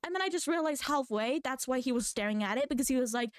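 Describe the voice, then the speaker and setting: Young woman, native Polish speaker, high voice, dry close sound, rambling chatty vlogger delivery. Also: animated, fast, clean tone, no room sound. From Poland. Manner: chatty, laughs often, rambling. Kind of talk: casual rambling rant.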